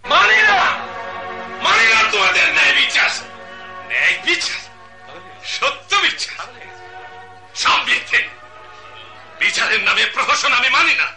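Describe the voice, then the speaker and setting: Poor accused man, high cracking voice all over the place, faint music under it. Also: huge pitch swings, unhurried, vocal fry, pauses often, bright, forceful tone, heavily acted. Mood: defiant. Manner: pleading then bitterly railing, sobbing laughs. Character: poor accused man Kuddus, desperate, defiant. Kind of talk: Bangladeshi state radio drama acting.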